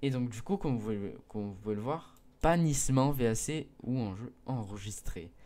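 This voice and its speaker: Hesitant teenage boy with a mellow voice. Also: mid-range voice, animated, normal speed. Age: mid-teens. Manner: hesitant, many fillers and restarts; earnest, pleading with viewers. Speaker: gaming video creator. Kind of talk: casual explanation to viewers.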